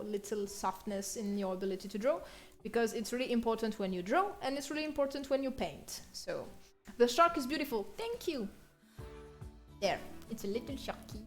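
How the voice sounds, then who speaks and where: A woman's voice: mid-range voice, a little mellow. A woman with a French accent giving a casual, chatty livestream drawing tutorial with playful, self-mocking asides. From France. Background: music.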